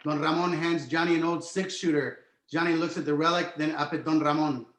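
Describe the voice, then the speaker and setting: A man's voice: a little high, steady tone, a little fast, a bit bright. A man reading stage directions as the narrator of a screenplay table read. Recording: roomy, echoing home recording.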